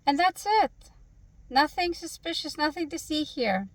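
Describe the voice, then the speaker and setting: Earnest woman vlogger, higher voice. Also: very animated, a little slow, dry recording. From Canada. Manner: earnest, emphatic, rhetorical questions. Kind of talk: direct-to-camera Q&A monologue.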